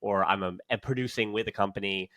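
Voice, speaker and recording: A man's voice: on the low side, fairly even intonation, a little fast, sharp tone, dry recording. A man hosting a casual podcast, talking in long rambling sentences with many restarts and fillers. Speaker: podcast host.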